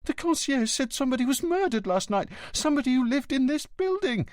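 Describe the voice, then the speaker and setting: A frightened, pleading son, as a male narrator voices him, pitched higher than the reader's own voice and lively in tone. He sounds anxious, his words near cracking. The sound is close and dry, as in a read-aloud crime story. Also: moderate pace, no echo. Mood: alarmed. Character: anxious worried grown son, middle-aged man. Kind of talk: audiobook character voice.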